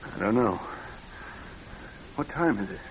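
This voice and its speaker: A haunted, edgy husband in a radio play, low in pitch but very animated. He sounds troubled and strained, moving between subdued and snappish, on a muffled recording. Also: unhurried, noisy background. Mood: weary, haunted. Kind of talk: radio drama acting.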